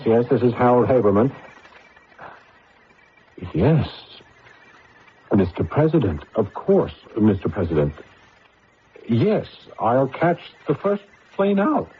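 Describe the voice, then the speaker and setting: A man's voice: medium pitch, slow pace, pauses often, dull audio, slight background noise. Nervy male scientist, stammering, wide swooping delivery; vintage radio drama, band-limited with music. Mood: flustered, excited. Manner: stammering, hesitant, excitable. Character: flustered, anxious male computer scientist telling his own story. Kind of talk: old-time radio drama acting and narration.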